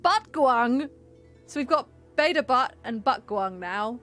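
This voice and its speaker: A woman's voice: fairly high pitch, lively intonation, slow, a bit bright. A woman giving casual live-stream game commentary, chatty and playful as she muses aloud. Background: game music.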